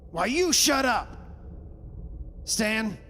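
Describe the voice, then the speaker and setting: A man's voice: high voice, very animated, drawn-out words, pushed and bright, long reverb tail, a little hiss or hum, full character voice. An actor playing a bullheaded, skeptical father in an old-time radio horror drama, blustering and commanding. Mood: defiant.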